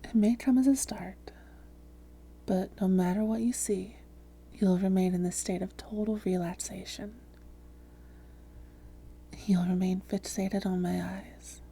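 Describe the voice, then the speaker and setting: A woman playing a seductive, smug vampire who poses as a hypnotherapist, speaking softly and slowly, often whispering, in an ASMR roleplay. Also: mid-range voice, fairly even intonation, a little hiss or hum. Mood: soothing, hypnotic. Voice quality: soft, breathy, often whispered. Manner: slow, coaxing, deliberate.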